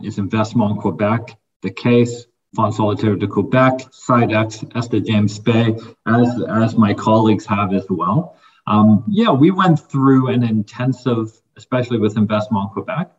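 Low-voiced businesslike man, lo-fi audio. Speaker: mining company executive. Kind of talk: panel discussion.

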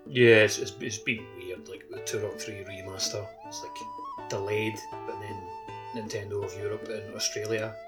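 Lowish man, very lively, music loud behind. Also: moderate pace, poor audio. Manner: chatty, filler-heavy with likes. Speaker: podcast co-host. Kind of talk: casual chat.

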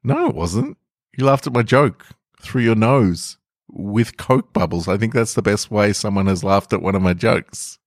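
A man's voice: lowish voice, sing-song, normal speed, dry recording, studio quality, voice pushed up. A man voicing a friendly, confident teenage girl who talks in a teasing, easygoing way.

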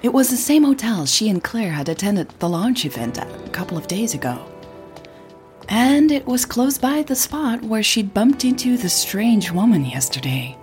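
A woman reading scripted audio drama narration in a husky voice. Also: medium pitch, very animated, normal speed, a little mellow, soft background music.

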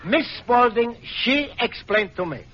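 A man's voice: extremely high pitch, expressive, measured pace. A man playing a naive, earnest Italian immigrant in an old radio comedy, explaining things step by step in simple broken English with an Italian accent. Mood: patiently explaining. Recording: noisy, muffled old radio broadcast recording.

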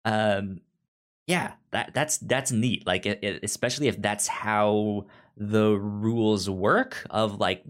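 A man co-hosting a podcast in a casual book discussion, halting and often stammering over his words. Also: fairly low pitch, steady tone. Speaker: podcast co-host.

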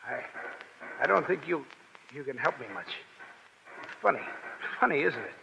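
An actor playing a wry, fatalistic Foreign Legion soldier in 1930s radio drama style, rough and slangy. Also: male voice, fairly high pitch, animated, normal speed. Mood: weak, dying. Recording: muffled, hissy, clicking 1930s radio recording.

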